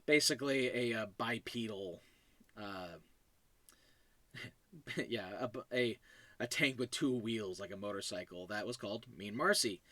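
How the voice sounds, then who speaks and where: A man's voice: lowish voice, a little slow, long pauses, pushed and bright, no room sound. A man hosting a solo podcast in a casual, digressive, self-deprecating way, talking about his own fiction. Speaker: podcast host.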